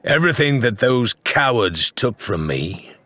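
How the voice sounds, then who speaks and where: A man voicing a boastful, commanding pirate captain in a low, level, assured voice for an audiobook. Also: very animated, sharp tone, dry recording, exaggerated voice. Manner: assured, deliberate. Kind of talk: audiobook character voice.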